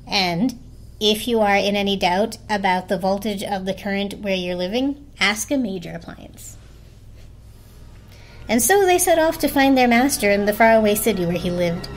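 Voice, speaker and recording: A woman's voice: medium pitch, lively intonation, normal speed. A woman narrating a children's story as a dramatic storybook reading. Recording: music behind the voice. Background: music.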